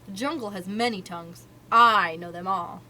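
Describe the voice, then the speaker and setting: A sulky, boastful young jungle boy with a high, excitable voice that jumps up into shouts, as a woman reading a storybook puts him on. He whines and shows off. A faint hiss sits under the recording. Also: fairly quick, rough recording. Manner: whiny and excitable, shouting at times.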